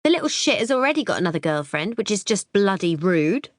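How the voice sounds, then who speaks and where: A woman's voice: mid-range voice, very animated, fairly quick, no room sound, studio quality, lightly acted. A woman voicing a blunt, crude, sarcastic young woman, the narrator's sister, in an audiobook. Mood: blunt, mocking.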